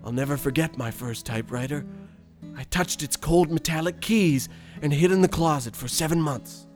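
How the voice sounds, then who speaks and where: A man playing a nostalgic, oblivious, privileged old man who reminisces in a genteel, unhurried, folksy radio storytelling style. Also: fairly high pitch, a little mellow, close to an everyday voice. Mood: fond nostalgia. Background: plucked guitar music.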